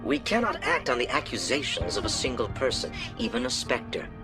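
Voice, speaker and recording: Lively-pitched skeptical alien councilor. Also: male voice, brisk, gravelly. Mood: stern, cautious. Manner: formal, emphatic. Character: skeptical male alien councilor, cautious and official. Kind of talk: video game voice acting. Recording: music behind the voice.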